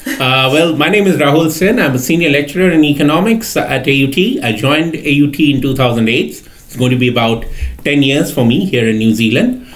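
A middle-aged man from India, a lecturer speaking methodically with frequent "uh" pauses as a podcast guest. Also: on the high side, lively intonation. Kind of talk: podcast interview.

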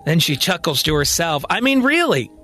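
Male narrator, high voice, scripted dramatic storytelling, soft music underneath. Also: sing-song, normal speed. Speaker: storyteller and podcast host.

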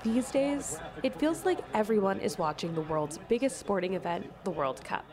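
A woman's voice: mid-range voice, animated, normal speed. A woman reporter reading scripted radio feature narration in a mid-range voice.

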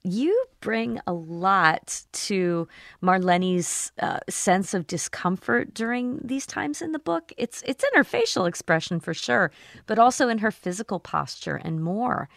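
A woman hosting a radio show, reading her intro and asking interview questions with polished, measured delivery. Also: medium pitch, lively intonation, creaky, a bit bright, dry recording, studio quality.

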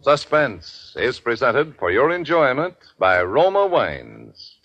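A man's voice: mid-range voice, wildly swooping pitch, takes it slowly, muffled recording, music in the background. A man announcing formally and declamatorily in 1940s radio style on a vintage broadcast recording.

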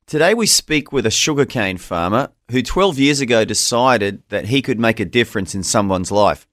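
A man's voice: on the low side, animated, normal speed, a bit bright, no room sound. An Australian man working as a radio interview host, speaking in an affirming, conversational way that prompts his guest.

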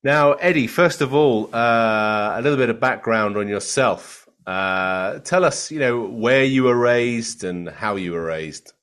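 A man's voice: medium pitch, a little slow, few pauses, a bit bright, clean tone. A man hosting an interview as a pastor, upbeat and chatty with many filler sounds. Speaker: host, pastor. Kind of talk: interview host.

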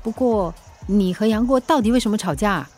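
An actress playing a friendly, caring and slightly nosy peacemaker in a radio drama, expressive and coaxing. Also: female voice, medium pitch, brisk, soft background music, lightly acted. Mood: friendly, teasing.

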